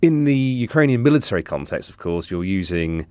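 A man, a reporter, giving explanatory analysis on a news podcast in a measured way with asides. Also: sits low, sing-song, a little mellow, no echo. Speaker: guest senior technology reporter.

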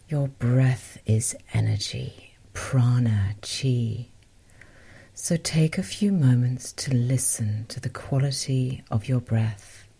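Very low, breathy woman guiding meditation. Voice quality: soft, breathy, often near whisper. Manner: gentle, unhurried, softly spoken with short phrases. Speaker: meditation guide and podcast host. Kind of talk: guided meditation, soothing instruction.

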